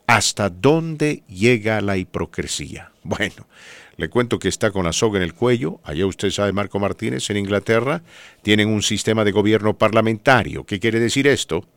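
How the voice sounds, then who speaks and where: A man's voice: on the low side, moderate pace, a bit bright, no echo. A man hosting a talk show, giving conversational news commentary in an emphatic, rhetorical manner. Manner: emphatic, rhetorical, asks listeners tag questions. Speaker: radio podcast host.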